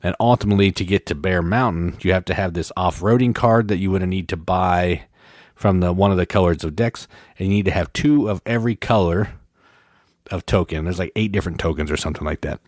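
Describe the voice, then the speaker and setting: A man's voice: sits low, a little fast, darkish tone, no room sound, studio quality. A man co-hosting a podcast, explaining game rules in casual chat, rambling and correcting himself. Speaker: podcast co-host.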